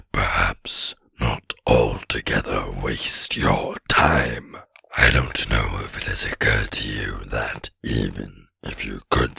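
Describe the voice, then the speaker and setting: Wicked old dragon, raspy breathy growl, forceful and tense, storybook villain, gloating drawl. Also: male voice, extremely low pitch, no echo, clean studio sound, voice pushed down, full character voice. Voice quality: gravelly, raspy, menacing. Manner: slow, gloating, sneering drawl. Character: ancient huge boastful male dragon, wicked and wily. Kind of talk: audiobook character voice.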